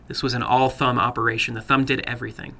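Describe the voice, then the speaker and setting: Guy reading a creepy short story, sharp bright voice, medium pitch, deliberate and suspenseful. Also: level tone. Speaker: horror fiction reader and host. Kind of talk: dramatic story narration.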